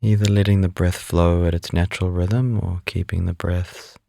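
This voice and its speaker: A man leading a guided meditation as an instructor, speaking in a calm, unhurried, instructive way. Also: deep voice, vocal fry, no room sound, studio quality.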